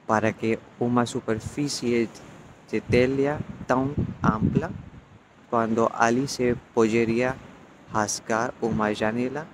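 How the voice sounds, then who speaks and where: Slow, halting young man with Indian accent. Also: medium pitch. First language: not Portuguese. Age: young adult. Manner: halting, broken into short phrases. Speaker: language student reading a text. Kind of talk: prose read aloud. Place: outdoors on a beach. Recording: noisy outdoor phone recording.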